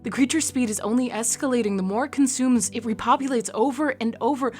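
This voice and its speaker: A woman's voice: fairly high pitch, quick pace, darkish tone, soft background music, close to an everyday voice. A woman playing a worried, urgent male scientist in a radio drama.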